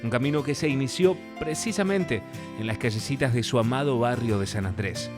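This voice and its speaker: A man's voice: mid-range voice, fairly quick. A man reading a scripted biographical narration as a voice-over. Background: guitar music under the voice-over.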